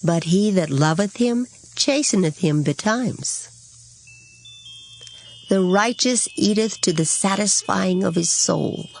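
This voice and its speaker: A woman's voice: medium pitch, slow pace, gravelly, a little mellow, slight background noise. A woman reciting Bible verses in a formal, theatrical dramatized style. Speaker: scripture reader. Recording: music behind the voice. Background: background music.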